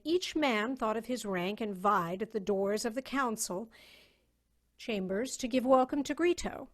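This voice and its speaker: Woman narrating a dramatic science fiction tale. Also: on the high side. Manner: measured, literary storytelling. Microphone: video call.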